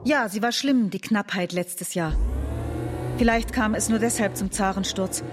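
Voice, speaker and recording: An actress playing an earnest, conciliatory liberal woman in a German radio drama, reasoning in a measured way. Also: mid-range voice, very noisy, natural voice. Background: music.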